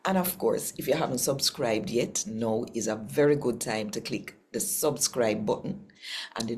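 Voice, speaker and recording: A Jamaican woman hosting a daily Bible reading, earnest and unhurried, murmuring agreement to herself. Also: extremely low pitch, slight background noise. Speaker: scripture reader.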